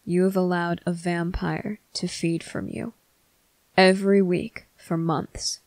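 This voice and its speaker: A performer voicing a stern but tender male vampire captain in an intimate ASMR roleplay, speaking deliberately in clipped phrases with pointed pauses. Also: medium pitch, level tone, no room sound. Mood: stern, reassuring. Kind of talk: ASMR roleplay addressed to the listener.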